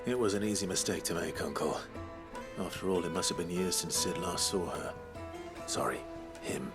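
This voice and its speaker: An actor voicing a stern, guarded young outlaw swordsman in a fantasy game, terse and measured. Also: low voice, loud music behind the voice, lightly acted. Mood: calm, resolute. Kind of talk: dramatic game cutscene acting.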